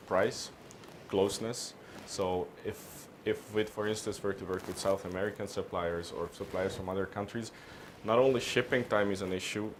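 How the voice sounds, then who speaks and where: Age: unknown. Sex: man. Pitch: deep. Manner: measured, hesitant, restarts often. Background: faint ambience. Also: noisy background. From Hungary. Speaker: interviewee, business consultant. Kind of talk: on-camera business interview.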